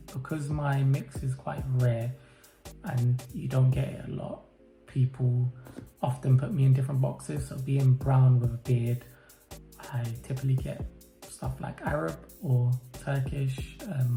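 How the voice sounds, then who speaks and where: A man from the UK answering interview questions about his life, hesitant and reflective, with many pauses and fillers. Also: mid-range voice, vocal fry. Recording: roomy echo, faint music behind.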